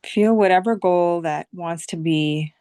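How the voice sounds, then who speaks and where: A woman leading a guided visualization meditation as a teacher, speaking soothingly in short phrases with long, unhurried pauses. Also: on the low side, dark tone, clear, resonant tone, no room sound, studio quality.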